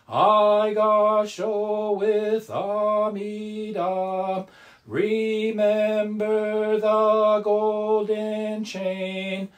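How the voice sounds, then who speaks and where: A person leading a Buddhist service, reciting and chanting devotional verses in a measured, solemn, sing-song way. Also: mid-range voice, monotone.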